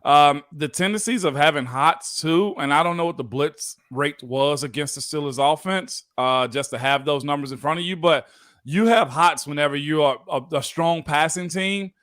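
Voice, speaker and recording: A man's voice: on the high side, moderate pace, fairly bright tone, no room sound, clean studio sound. A man in his thirties, a former football player, co-hosting a sports talk show in a laid-back, chatty way.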